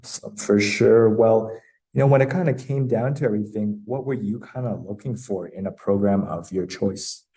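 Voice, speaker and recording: A man interviewing a young athlete, asking questions in a relaxed, friendly way. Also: on the low side, moderate pace, poor audio.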